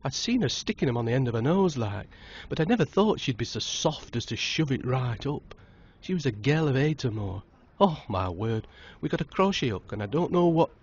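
A man's voice: mid-range voice, expressive, moderate pace, husky, muffled recording, pitched above the performer's own voice. A man putting on a chatty village wife. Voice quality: broad, homely dialect speech. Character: chatty village woman, middle-aged, gossiping.

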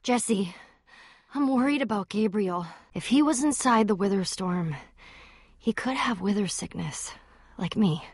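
An actress playing a brave, plucky young woman warrior in a video game, dazed and forgetful, halting as she searches for memories. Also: mid-range voice, a little mellow, husky, no echo, clean studio sound. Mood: weak, worried. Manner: halting, searching for memories, then bursting out eagerly.

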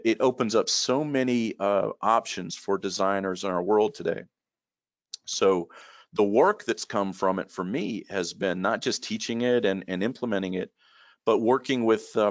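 A man's voice: on the low side, no echo. Rambling man on a video call. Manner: rambling, repeats words, many fillers. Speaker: guest expert and trainer. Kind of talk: casual storytelling in a video call. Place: home room. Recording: dull lo-fi call audio.

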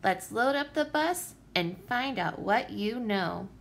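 Animated, high-voiced woman reading to children. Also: gravelly, slight background noise. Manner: animated, deliberate phrasing for young children. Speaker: teacher reading a picture book aloud. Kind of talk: expressive children's story read-aloud.

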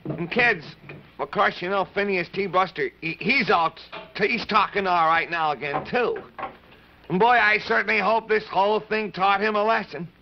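A man's voice: sits high, normal speed, gravelly. A man voicing an earnest, friendly cowboy boy marionette in a folksy, exclamatory way on a 1950s children's puppet show. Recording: dull, noisy old television soundtrack.

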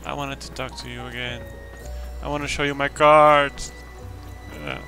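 A man streams a game with casual commentary. His voice is creaky and mid-pitched, and he talks slowly in short phrases to himself. Music plays clearly behind him. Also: fairly even intonation, sharp tone. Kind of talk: casual let's play commentary.

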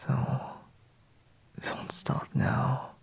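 A man playing a sleepy, intimate boyfriend in a whispered ASMR roleplay, murmuring haltingly in a breathy, husky voice. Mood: breathy, coaxing.

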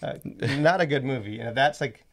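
A man's voice: mid-range voice, moderate pace, vocal fry. A man hosting a casual podcast, rambling with many asides into a close studio mic. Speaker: podcast host and movie reviewer. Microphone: close boom-arm studio mic.

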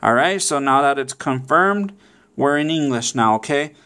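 A man's voice: medium pitch, lively intonation, normal speed, bright, forceful tone, slight background noise. A man presenting a casual step-by-step how-to, often checking in with okay and all right. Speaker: tutorial presenter.

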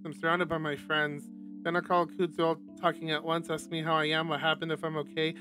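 A man's voice: on the high side, completely flat delivery, fairly quick, music in the background. A man streaming a visual novel, reading the narration aloud and dropping in casual, sweary asides. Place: home streaming setup, livestream.

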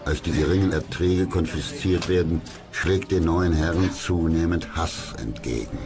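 A middle-aged man reading scripted history documentary narration in a measured, factual way. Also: low-pitched. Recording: clear music under the voice.

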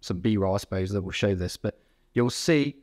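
A man in his thirties giving an informal technical explanation, haltingly rephrasing as he goes. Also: on the low side, a little mellow. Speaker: technical director explaining a product. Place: indoor company workroom.

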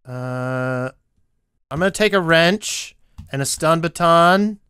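A man's voice: a little high, lively intonation, takes it slowly, sharp tone, clear, resonant tone, no echo, studio quality. A man chatting casually as he plays a game, with a playful, joking manner. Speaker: gamer playing a co-op roleplay map. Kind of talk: casual gameplay chat.

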